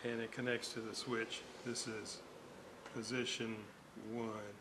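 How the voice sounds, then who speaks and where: A man's voice: mid-range voice, little pitch movement, slow pace, noisy background. A man talking through a radio repair at his workbench, thinking aloud and correcting himself as he measures. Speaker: radio restorer. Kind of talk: hands-on repair walkthrough.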